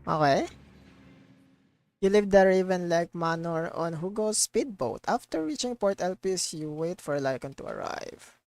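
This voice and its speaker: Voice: medium pitch, expressive, measured pace, a little mellow, dry recording. A streamer reacting casually to a video game on a live stream.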